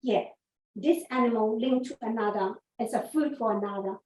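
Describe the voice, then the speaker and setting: A woman's voice: on the high side, fairly even intonation, moderate pace, a little mellow, a little hiss or hum. A woman teacher giving an interactive nature lesson to young children, asking simple questions and answering them herself. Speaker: early learning teacher. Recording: echoey room, distant from mic.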